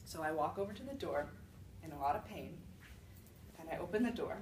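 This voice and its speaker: A woman playing an indignant old widow accused of being a witch, telling her side of a fairy tale in a conversational, halting monologue. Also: deep voice, animated, a little mellow. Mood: explaining, aggrieved. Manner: conversational, self-justifying, with halting restarts. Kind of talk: first-person storytelling monologue. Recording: roomy, distant mic with faint noise.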